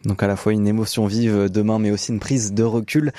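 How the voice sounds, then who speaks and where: A man hosting a conversational radio interview, putting his questions in halting phrases with pauses midway. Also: on the low side, flat delivery, dark tone, no room sound.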